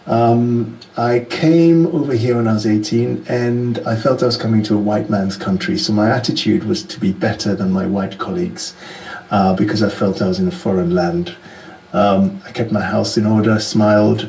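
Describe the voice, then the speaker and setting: A man speaks in an earnest, measured way, and his voice sits fairly low. His voice is gravelly. He sounds far from the mic in an echoey room. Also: darkish tone. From Ghana. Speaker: caller sending a message to the show.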